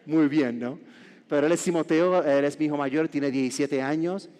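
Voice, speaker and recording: A man's voice: a little high, steady tone. Puerto rican guy in his 40s preaching, church hall reverb, stops and restarts a lot. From Puerto Rico. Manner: warm joking asides, halting restarts. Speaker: pastor. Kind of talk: sermon.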